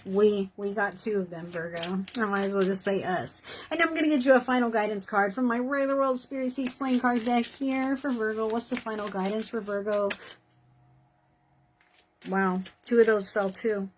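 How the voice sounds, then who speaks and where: A woman reading tarot horoscopes in a casual, encouraging way, her voice worn and hoarse from doing many readings. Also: medium pitch, fairly even intonation, moderate pace, slight background noise, poor audio. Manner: chatty, encouraging, many fillers like "you know" and "okay". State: losing her voice. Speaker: tarot reader.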